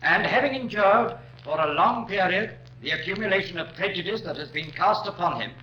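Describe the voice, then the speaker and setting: Impassioned high-pitched Victorian barrister. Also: male voice, brisk, bright, forceful tone. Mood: earnest, emphatic. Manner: formal, rhetorical, emphatic. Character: impassioned Victorian defense barrister addressing a jury. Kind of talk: 1950s radio crime drama courtroom oratory. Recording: dull, noisy old radio recording, roomy.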